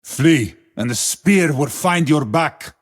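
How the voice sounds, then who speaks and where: An actor playing a grave, proud, battle-hardened warrior in a video game, solemn and declamatory, with a gravelly, husky voice. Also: male voice, a little high, animated, slow pace, recorded from a distance. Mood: menacing defiance. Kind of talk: epic video game voice acting.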